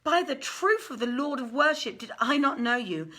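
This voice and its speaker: A woman reading an old literary tale aloud in a theatrical, measured and deliberate manner. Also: sits high, very animated, bright, forceful tone. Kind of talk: dramatic literary story reading.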